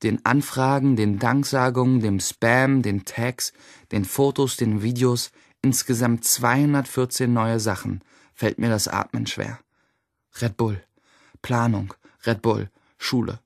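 A man acting a stressed, secretive teenage schoolboy narrating his own life in a radio play, with a husky, gravelly voice. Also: medium pitch, steady tone, unhurried, no echo. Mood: stressed, weary. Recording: dry studio, faint music behind.

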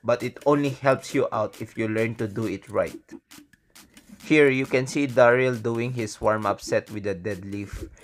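A man's voice: medium pitch, measured pace, a little hiss or hum. A man in his twenties with a Filipino accent giving step-by-step workout instructions in short phrases as a fitness coach. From Philippines. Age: 20s. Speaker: fitness coach and video host. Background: music.

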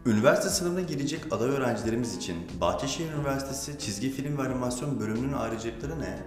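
A man's voice: medium pitch, expressive, rapid-fire. A man putting scripted questions as an interviewer. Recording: roomy echo with music behind. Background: background music.

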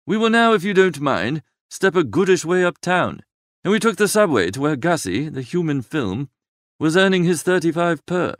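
High-pitched, animated male storyteller. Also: normal speed, dry recording, clean studio sound. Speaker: audiobook narrator. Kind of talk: expressive first-person literary narration.

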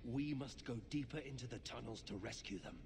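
A man's voice: medium pitch, normal speed, vocal fry, husky. Grave warrior nobleman, long echo. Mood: grave, resolute. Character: grave, guarded warrior nobleman in a fantasy game. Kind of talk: dramatic video game dialogue.

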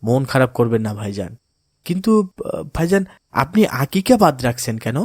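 A man voicing a pious, kindly father in a moral cartoon, giving gentle counsel in a calm, polite, instructive way. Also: medium pitch, normal speed, breathy edge, dry recording. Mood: reassuring, pious. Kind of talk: cartoon voice acting in moral story.

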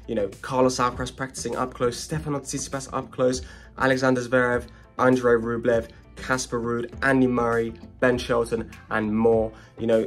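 A young man talking casually as a vlogger over his footage, filling his sentences with easy asides. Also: medium pitch. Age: young adult. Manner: chatty, relaxed, many "you know" fillers.

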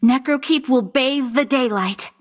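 A woman voicing a noble, sorrowful undead queen in a video game, with a breathy voice and a solemn, theatrical, regal delivery. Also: high-pitched, unhurried. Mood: solemn, resolute. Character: sorrowful, noble undead queen guarding her fallen kingdom. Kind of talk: video game hero voice lines.

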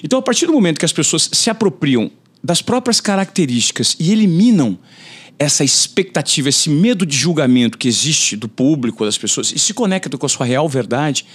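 A man in his forties, a journalist guest on a podcast, talking in an animated way and acting out quotes in a raised voice, recorded in a studio on a boom-arm mic. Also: fairly quick, breathy edge. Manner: animated, gestures a lot, acts out quotes in a raised voice. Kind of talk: conversational interview. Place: podcast studio with brick wall.

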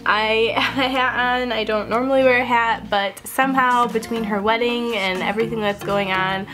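A woman's voice: on the high side, steady tone, normal speed, over music. Chatty young woman with a stuffy cold. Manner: chatty, laughs often, talks to her dogs. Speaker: beauty vlogger. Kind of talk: casual haul vlog. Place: upstairs hallway of a house, indoors. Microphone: camera-mounted mic, seated close to the camera.

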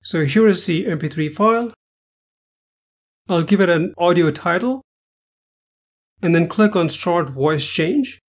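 Male tutorial narrator, high-pitched voice, step-by-step instructional delivery for screen-capture walkthroughs. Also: animated, unhurried, pauses often. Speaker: software tutorial presenter.

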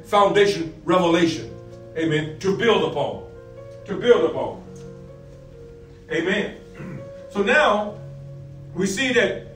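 A male pastor with a high-pitched voice delivers a sermon. He poses questions, answers them and repeats key phrases, dropping in frequent amens. The recording is roomy and picked up from a distance. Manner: repetitive call-and-answer questions, punctuated with amens. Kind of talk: sermon, preaching with scripture readings. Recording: roomy, distant mic, faint music behind.

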